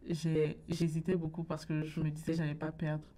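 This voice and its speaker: A young woman, a guest on a studio radio interview, soft-spoken and sometimes searching for words. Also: sits low, monotone, fairly quick. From Burkina Faso. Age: late teens.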